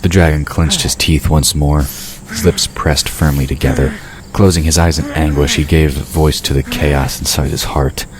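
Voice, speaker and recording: A man's voice: very deep voice, steady tone, moderate pace, hardly any pauses, crowd noise. A man narrating a fantasy audio drama in a scripted storytelling style.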